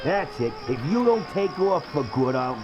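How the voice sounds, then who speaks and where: High-pitched, belligerent trash-talking trailer welder. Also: male voice, big pitch swings, moderate pace, muffled recording. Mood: threatening, sneering. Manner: belligerent, sneering, crude insults. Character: irritable trash-talking male trailer-dwelling welder and artist. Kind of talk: comic video game voice acting. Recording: loud music behind, from game livestream.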